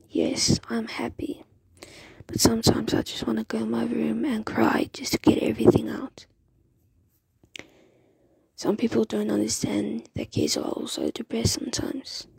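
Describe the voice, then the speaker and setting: A teenage girl whispering a voice memo into her phone in a breathy, husky voice. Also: fairly high pitch, little pitch movement, measured pace, darkish tone. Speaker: listener sending a voice memo.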